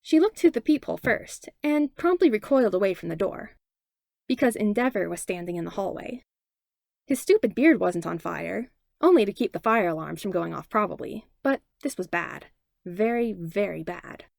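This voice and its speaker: A woman reading fan fiction aloud as a podfic narrator, with expressive, animated storytelling. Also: mid-range voice, normal speed, creaky, no echo, clean studio sound. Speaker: podfic reader.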